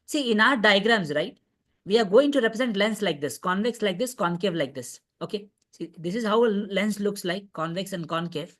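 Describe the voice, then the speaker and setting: A woman's voice: mid-range voice, moderate pace, a bit bright. A woman with an Indian accent lecturing as a physics teacher in an online revision class, repeating points and often checking understanding. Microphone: online video call class.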